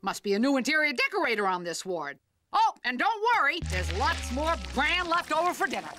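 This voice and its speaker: Bossy, swooping, high-pitched cartoon battle-axe. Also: female voice, big pitch swings, brisk, music in the background. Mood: breezy. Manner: officious, scolding, mock-sweet. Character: bossy, strict, mean, theatrical female cartoon authority figure. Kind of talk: animated cartoon voice acting.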